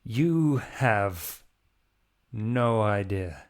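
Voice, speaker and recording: Stern priest and monster hunter played by a man: low, very deliberate pace, defiant, tabletop horror game. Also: lively intonation. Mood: guarded defiance.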